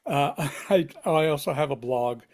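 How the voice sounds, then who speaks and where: Slow-talking man, hesitant and wry. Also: fairly high pitch, animated, darkish tone. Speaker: actor at a table read. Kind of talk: casual self-introduction.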